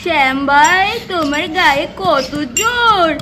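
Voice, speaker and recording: A woman's voice: very high-pitched, animated, slow, noisy background, full character voice. A woman voicing a bossy, playfully teasing village housewife doll in a children's cartoon, chatty and exclaiming. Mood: brisk, chatty.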